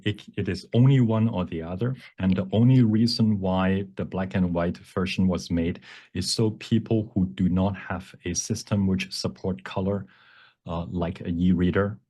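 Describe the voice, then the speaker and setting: A man presenting a live software workshop and taking questions, explaining in a halting way with phrases that trail off. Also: sits low, slightly rough.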